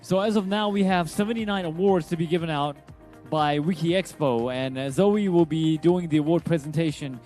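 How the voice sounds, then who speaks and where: Male emcee, formal announcer, high voice, faint music under him. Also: darkish tone. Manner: formal, drawn-out name announcing. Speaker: event emcee. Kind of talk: event announcements. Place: conference hall.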